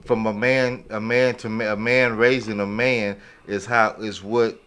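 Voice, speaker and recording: Low-voiced man in slangy podcast chat. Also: little pitch movement, a little slow, a bit bright, clear, resonant tone. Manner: conversational, slangy, frequent check-in tags. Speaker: podcast panelist. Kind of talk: casual opinionated chat.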